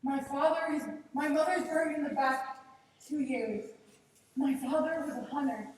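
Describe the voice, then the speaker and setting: A woman acting a high-voiced, anxious girl, echoing. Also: normal speed, darkish tone, lightly acted. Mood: sorrowful. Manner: earnest, pleading, Spanish words mixed in. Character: poor, devoted, anxious orphaned young country girl. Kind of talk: stage play acting. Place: theatre stage, far camera. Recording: echoey, noisy theatre hall from far off.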